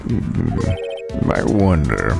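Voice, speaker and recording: A man's voice: a bass voice, sing-song, extremely slow, creaky, full character voice. A man playing a ponderous, avuncular older lawyer in a video game drama, with a stammering, deliberating delivery. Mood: ponderous, concerned. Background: background music.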